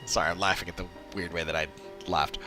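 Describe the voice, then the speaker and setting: A man's voice: low voice, level tone, fast, music in the background. A man on a game livestream, chatting casually and commenting as he plays. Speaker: streamer voicing game dialogue. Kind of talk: game livestream, reading dialogue aloud and commenting.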